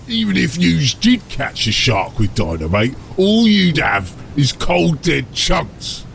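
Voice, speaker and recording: Gruff ork captain, gravelly, slow, short-tempered, barking, broken grammar, fantasy audiobook. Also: male voice, fairly high pitch, wildly swooping pitch, fairly bright tone, a little hiss or hum, voice pushed up, full character voice. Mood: stern, scheming. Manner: coarse ungrammatical speech, barking orders. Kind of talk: fantasy audiobook character acting.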